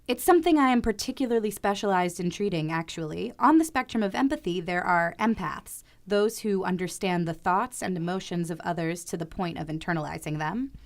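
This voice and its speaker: A woman playing a composed, clinical therapist in a radio play, measured and authoritative. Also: lowish voice, expressive. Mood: clinical, assured. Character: composed, clinical female therapist with hypnotic methods.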